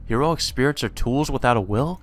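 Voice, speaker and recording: A man's voice: medium pitch, animated, fairly quick. A man doing a let's play, reading a visual novel's dialogue and narration aloud. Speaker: let's player. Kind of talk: reading game text aloud. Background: game music.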